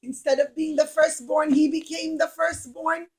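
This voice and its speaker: A high, whiny puppet of a hot-headed elder son, played by a woman. He complains loudly and wails his grievances with vengeful temper, as in a children's puppet show. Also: fairly even intonation, normal speed, lightly acted. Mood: wailing, aggrieved.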